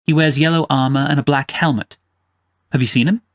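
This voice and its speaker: A man voicing a calm, courteous young adventurer who speaks plainly and politely in a storybook reading. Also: medium pitch, fairly quick, dry recording, studio quality, lightly acted.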